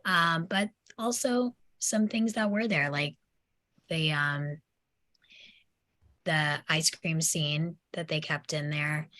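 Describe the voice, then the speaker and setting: A woman's voice: deep voice, slow, pushed and bright, no room sound. A woman taking part in a casual film discussion, speaking in a hesitant, thoughtful way. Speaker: discussion participant.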